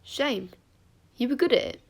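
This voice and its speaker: A woman voicing a gentle, friendly teenage schoolgirl, coaxing and concerned, in audiobook dialogue. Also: high voice, animated, normal speed, creaky, voice pushed up, heavily acted. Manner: soft-hearted, coaxing.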